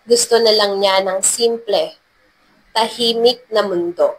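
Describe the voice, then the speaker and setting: A woman's voice: medium pitch, takes it slowly, low-quality recording. A woman reading scripted narration for a romance story as its narrator.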